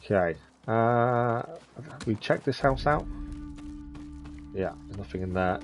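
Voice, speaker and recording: A man's voice: medium pitch, lively intonation, takes it slowly, soft background music. Guy in his thirties streaming a game, talks to chat, average voice. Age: 30s. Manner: chatty, muttering at enemies, answering chat. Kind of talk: live gameplay commentary.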